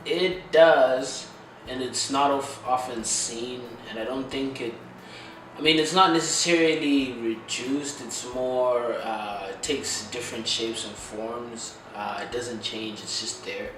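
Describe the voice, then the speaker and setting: A man's voice: mid-range voice, takes it slowly, a bit bright. Young man from Zimbabwe, echoey and distant. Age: young adult. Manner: thoughtful, many fillers. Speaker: interviewee, international student. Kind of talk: interview answers. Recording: echoey room, heard from afar with noise.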